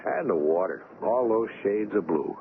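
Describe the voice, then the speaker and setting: Noisy old radio show, a friendly young doctor, curious, very animated pitch. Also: male voice, normal speed, narrow, tinny audio. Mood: cheerful, eager. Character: young newly qualified male doctor, eager, curious, friendly. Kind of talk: radio drama acting.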